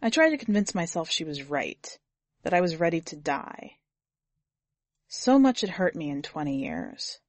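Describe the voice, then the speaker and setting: A woman reading scripted first-person fiction as a narrator, with measured, grave, deliberate phrasing. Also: on the low side, huge pitch swings, no room sound. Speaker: podcast editor and story narrator.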